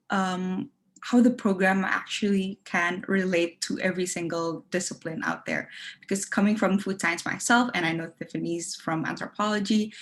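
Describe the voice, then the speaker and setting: Slightly low-voiced young woman. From Indonesia. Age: 20s. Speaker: international student panellist. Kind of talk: informal webinar interview answers.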